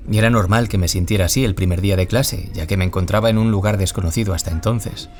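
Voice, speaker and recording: Actor as a low-voiced, wounded young man. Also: a little mellow, natural voice. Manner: confessional, reflective, measured. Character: gentle, wounded young man, former bullying victim turned author. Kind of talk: audio drama acting as a radio interview guest.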